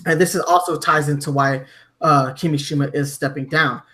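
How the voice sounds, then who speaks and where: Halting, higher-pitched man hosting a podcast. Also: steady tone, a bit bright. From Germany. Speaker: podcast host. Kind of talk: conversational news discussion.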